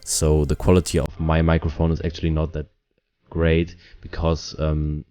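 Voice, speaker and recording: A man giving a casual product review, explaining things in simple, halting phrases. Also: extremely low pitch, mellow, no echo, muffled recording.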